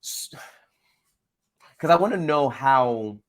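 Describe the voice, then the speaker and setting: A man hosting a show, chatting casually in an informal, halting way as he thinks out loud. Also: medium pitch, animated. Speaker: host of a comics breakdown show. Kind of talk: casual interview chat.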